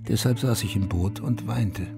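An actor playing a haunted, self-accusing Vietnam War veteran who narrates a radio drama in a sober, confessional, terse way. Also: male voice, on the low side, normal speed, gravelly. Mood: bitter confession. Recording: studio radio play with music underneath.

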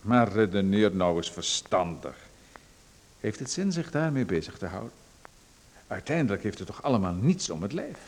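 A creepy, patronizing official. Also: male voice, lowish voice, big pitch swings, moderate pace, darkish tone. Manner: condescending, coaxing then threatening. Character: menacing male official, smooth and patronizing. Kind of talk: radio drama acting.

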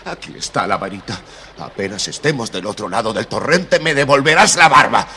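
An actor playing a bitter, scheming little old sorcerer in a children's story record, stammering out agitated protests. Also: male voice, lowish voice, lively intonation, a little fast, sharp tone, slight background noise, full character voice. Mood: agitated, demanding. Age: older.

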